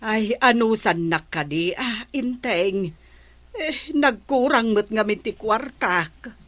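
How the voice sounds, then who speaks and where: A woman's voice: on the high side, animated, slow, a bit bright, heavily acted. A woman with an Ilocano accent playing a patient, concerned village woman in a radio comedy drama.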